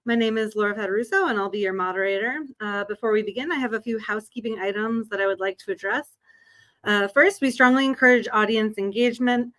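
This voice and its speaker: A woman's voice: medium pitch, fairly even intonation, quick pace, a bit bright. A woman acting as webinar moderator, politely reading housekeeping notes and introducing the speaker.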